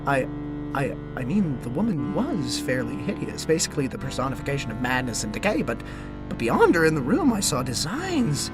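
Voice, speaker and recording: An actor playing a pompous, earnest gentleman decorator, telling his gothic comedy tale in a theatrical, melodramatic manner. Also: mid-range voice, wildly swooping pitch, brisk, over music. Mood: tense storytelling. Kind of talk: theatrical first-person gothic comedy narration.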